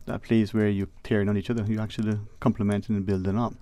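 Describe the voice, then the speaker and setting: A man's voice: lowish voice, flat delivery, fast. A man hosting a radio talk show, chatting casually in a rambling way with guests in the studio. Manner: rambling, restarts phrases, warm banter. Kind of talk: casual interview chat.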